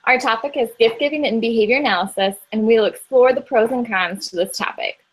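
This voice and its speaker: A young woman from Indiana moderating an informal debate, reading a prepared introduction in a steady, organized way. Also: on the high side, brisk, low-quality recording. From Indiana, USA.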